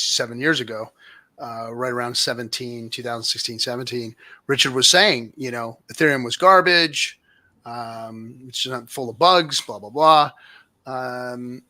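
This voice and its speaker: A man's voice: mid-range voice, sharp tone. A man hosting a casual livestream, explaining things informally as he takes viewer questions.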